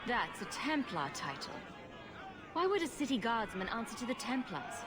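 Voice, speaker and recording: A woman's voice: on the high side, very animated, moderate pace, crowd noise, noisy background. A woman playing a composed, direct young refugee adventurer in a fantasy video game. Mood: insistent.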